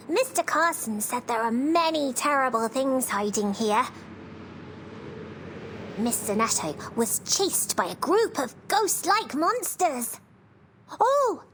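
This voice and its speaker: A woman's voice: very high voice, a bit bright, slight background noise, exaggerated voice. A woman voicing a sweet, naive, well-bred young girl adventurer in a video game, dainty and earnest.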